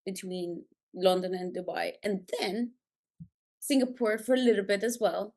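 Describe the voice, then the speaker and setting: A woman from Colombia with a Colombian accent, a podcast guest talking casually about her moves between countries, lively and quick to laugh. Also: mid-range voice. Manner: lively, laughs often, talks in quick fragments. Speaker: podcast guest, business founder. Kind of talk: casual interview chat. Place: remote call from a jungle location.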